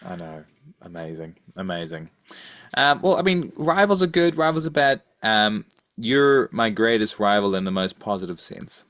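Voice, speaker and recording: A man with a somewhat low voice chats as a podcast co-host. He is casual and thoughtful, hesitating as he goes and doubling back on short phrases. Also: sing-song, normal speed, a bit bright, no echo. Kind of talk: casual chat.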